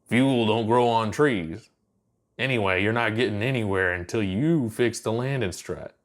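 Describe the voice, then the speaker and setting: A man's voice: fairly low pitch, a little slow, natural voice. Man acting a folksy veteran space pilot. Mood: casual, instructing. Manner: casual, folksy, drawling. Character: gruff, easygoing veteran space pilot and flight instructor.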